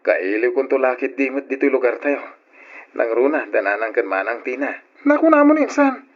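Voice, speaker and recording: A man playing a teasing, excitable young male cousin in an old radio drama, talking in an animated way. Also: medium pitch, normal speed, lo-fi sound, lightly acted.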